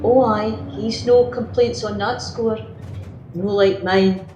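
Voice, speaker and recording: A woman's voice: medium pitch, fairly even intonation, slow, mellow, highs cut off, natural voice. A woman playing a gossipy, complaining working-class Scottish wife in a radio play monologue. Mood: gossipy, self-satisfied. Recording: roomy, noisy remote home recording.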